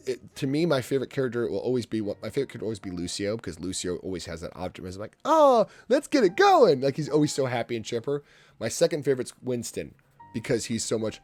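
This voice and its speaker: A congested man with a lowish voice talks to his live chat as he paints, rambling, restarting his sentences and going off on asides. Soft guitar music plays faintly underneath. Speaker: painter and livestreamer. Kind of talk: casual live-stream chat while painting. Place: home art studio.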